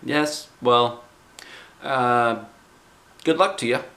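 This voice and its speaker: A man's voice: medium pitch, drawn-out words, fairly bright tone. A man voicing a polite, orderly woman who runs a school carnival game in a storybook. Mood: awkward, doubtful. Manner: announcing, instructing.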